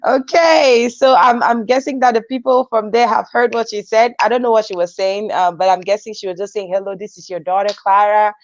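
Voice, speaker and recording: A woman's voice: medium pitch, steady tone, brisk, hardly any pauses, no echo, muffled recording. A woman hosting a live online talk show, reading viewer comments aloud and encouraging her guest, laughing often.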